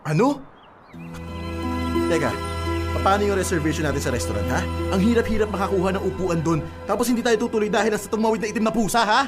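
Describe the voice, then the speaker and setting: Young male lead for radio drama: practical, skeptical boyfriend, brisk talker, clear music bed behind him. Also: high-pitched, lively intonation. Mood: exasperated. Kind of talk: Filipino radio drama acting.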